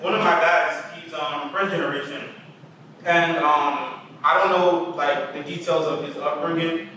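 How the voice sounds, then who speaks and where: A young man tells a quick story in a relaxed, chatty way. His voice is bright and a little high for a man. He is recorded from a distance in a very echoey room. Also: a little hiss or hum. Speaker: panel guest. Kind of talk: casual storytelling.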